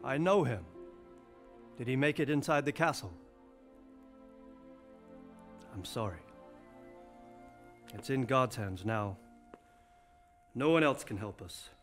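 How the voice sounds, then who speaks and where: An actor playing a grave, authoritative medieval lord in a video game cutscene, speaking in a measured, commanding way. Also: male voice, medium pitch, animated, fairly bright tone, faint music behind. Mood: solemn.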